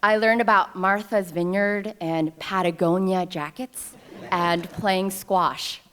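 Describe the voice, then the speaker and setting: Woman, 30s, from New York City; slightly low voice, wry self-deprecating storyteller at a ceremony podium. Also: fairly even intonation, slow, a bit bright, a little hiss or hum. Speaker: alumna speaker at a ceremony. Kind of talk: personal storytelling speech. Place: ceremony stage before an audience.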